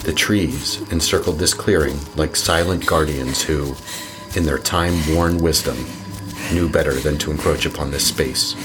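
A man narrating a horror audio drama in a slow, measured storytelling manner. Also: extremely low pitch, steady tone, over music, rough recording.

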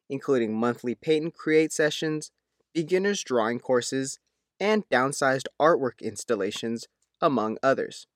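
A man's voice: mid-range voice, dry recording, studio quality. A man reading a written local news feature in a scripted narration style.

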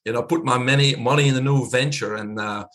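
A man's voice: mid-range voice, quick pace. Guest, male, 50s, Belgian accent; laid-back storyteller with frequent uh's and laughs. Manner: relaxed, chatty, laughs often, many uh fillers. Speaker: guest, physician. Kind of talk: casual storytelling interview.

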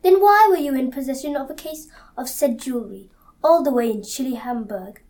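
A woman's voice: high voice, brisk, darkish tone. A young woman playing a stern, sceptical police interrogator in an amateur audio drama. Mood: accusing.

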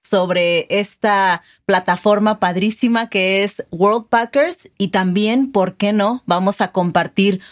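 Una mujer mexicana con acento mexicano, co-presentadora de un podcast, que guía la conversación con preguntas amables. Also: female voice, medium pitch, takes it slowly, hardly any pauses. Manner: friendly, guiding the interview with questions. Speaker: podcast co-host. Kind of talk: casual chat.

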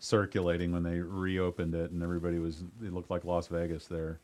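A middle-aged man hosting a podcast, asking his guest questions in a quick, chatty, friendly way. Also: sits low, completely flat delivery, darkish tone. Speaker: podcast host. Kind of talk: casual interview chat.

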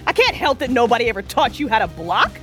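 A woman's voice: on the high side, big pitch swings, fast, a bit bright, soft background music. A woman playing a tough, cocky superhero vigilante in an audio drama, with sassy, confident banter.

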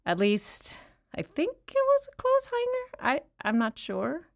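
A woman's voice: high-pitched, wildly swooping pitch, a little slow, gravelly, heavily acted. An actress playing a chatty, rambling woman inmate in an audio drama, telling stories in a wry tone. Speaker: actor in an audio play.

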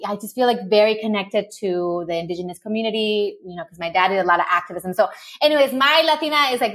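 A woman's voice: medium pitch, a bit bright. A woman from Mexico, a guest on a virtual interview, talking casually with lots of fillers and restarts over a video call. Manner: chatty, many fillers and restarts. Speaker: guest, body positivity creator.

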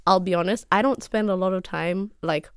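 A woman's voice: on the low side, a little fast, clean tone, no echo, clean studio sound. A young woman from Kenya, a podcast guest, chatting casually with lots of fillers and easy laughter. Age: young adult.

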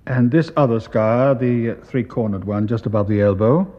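Actor as a grave, formal English official doctor. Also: male voice, mid-range voice, lo-fi sound, natural voice. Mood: grave, gentle. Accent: educated English. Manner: measured, polite, officious. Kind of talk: radio drama acting.

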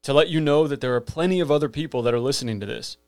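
A man's voice: medium pitch, racing, a little mellow, no echo, studio quality. A millennial man hosting a podcast, delivering an earnest scripted monologue with emphatic rhetorical lists. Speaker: podcast host.

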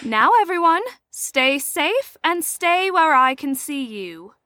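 A woman's voice: high voice, lively intonation, takes it slowly, pushed and bright, dry recording, clean studio sound, exaggerated voice. An actress playing a genteel older woman chaperone in an audio drama, sweet and theatrical with a faint menace. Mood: polite, firm.

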